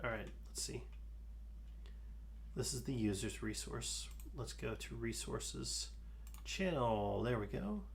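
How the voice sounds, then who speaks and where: A man hosting a live coding stream, talking calmly through his code with a soothing voice and many fillers. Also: mid-range voice, creaky, a little hiss or hum. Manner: slow, calm, talks through code with fillers. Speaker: livestream host.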